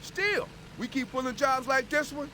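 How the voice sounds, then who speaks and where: A man's voice: normal speed, bright, forceful tone. A man playing a brash, streetwise young Marine in a war game, slangy and teasing. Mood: cocky banter. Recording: vehicle rumble and outdoor ambience.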